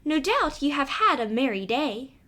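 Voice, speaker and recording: Woman voicing a high-pitched, earnest little storybook mouse. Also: sing-song, normal speed, pushed and bright, exaggerated voice. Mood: curious. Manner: exclaiming, wondering, earnest. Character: small naive trusting female mouse, anxious and curious.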